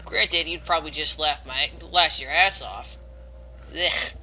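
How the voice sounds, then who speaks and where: A man's voice: fairly high pitch, a little slow, pushed and bright, a little hiss or hum. A young man streaming a game live, rambling and self-mocking, swearing freely. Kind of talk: casual live game commentary.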